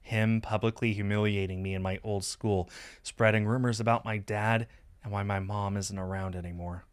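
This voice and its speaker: A man reading a visual novel aloud as expressive first-person narration on a stream. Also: on the low side, moderate pace, smooth.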